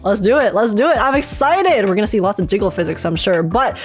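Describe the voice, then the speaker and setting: A woman hosting a casual gaming livestream, chatting excitably, teasing herself and repeating phrases for emphasis. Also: mid-range voice, quick pace, soft background music. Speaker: livestream host and gaming reactor. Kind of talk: casual livestream chat and trailer reaction.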